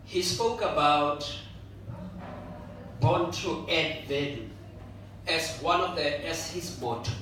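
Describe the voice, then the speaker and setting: A man's voice: on the high side, slow, long pauses, bright, forceful tone. A man moderating a panel, probing his guests in a teasing, questioning manner. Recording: echoey hall from far off, noisy.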